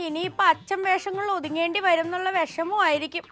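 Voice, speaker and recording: A woman's voice: extremely high pitch, fairly even intonation, brisk, fairly bright tone, soft background music. A woman playing a gossip-loving, superior mistress of the house in a television comedy sketch, chiding and theatrical. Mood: gossipy.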